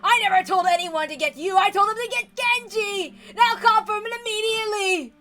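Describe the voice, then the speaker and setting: An aged, tyrannical patriarch from a visual novel voiced by a woman, raving and bombastic. The voice is pitched high and bellows with theatrical, drawn-out words, over faint music. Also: sharp tone. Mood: furious.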